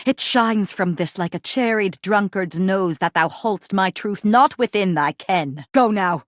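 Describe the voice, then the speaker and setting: A woman's voice: medium pitch, no room sound, studio quality, close to an everyday voice. Woman playing a bombastic, haughty warrior general, swooping delivery. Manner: bombastic, archaic theatrical declaiming. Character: fierce, haughty laundry-pod general, grandiose Shakespearean warrior. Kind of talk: video game character acting.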